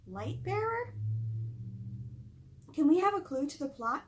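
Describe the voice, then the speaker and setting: A woman's voice: high voice, big pitch swings, a little slow, voice pushed up. A woman voicing curious, eager young girls in a storybook read-aloud. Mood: playful, eager.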